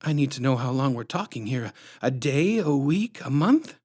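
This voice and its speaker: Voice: mid-range voice, big pitch swings, moderate pace, a little mellow, breathy edge. A narrator voicing a worried mother who pleads anxiously for her son.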